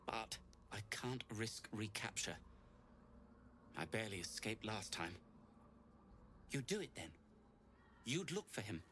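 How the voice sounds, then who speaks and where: An actor playing an earnest, self-doubting gnome tinkerer in a video game, speaking hesitantly and wryly in formal phrases. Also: male voice, lowish voice, sharp tone, a little hiss or hum. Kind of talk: video game character dialogue.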